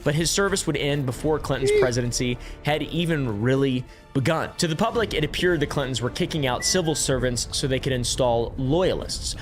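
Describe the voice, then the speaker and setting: Young male streamer, mid-pitched voice, sarcastic and casual, swears a lot. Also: expressive, fairly quick, hardly any pauses, faint music behind. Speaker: streamer and commentator. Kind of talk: casual commentary on a political story.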